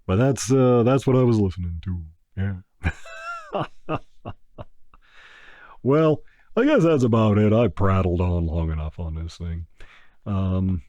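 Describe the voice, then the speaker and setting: An older man rambles through a relaxed podcast chat in a very low, mellow voice. He takes his time and fills the gaps with "uh". The sound is dry and close, with no room around it. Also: dry recording, dull audio. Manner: unhurried, many "uh" fillers, breaks into crooning an old song. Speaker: podcast host.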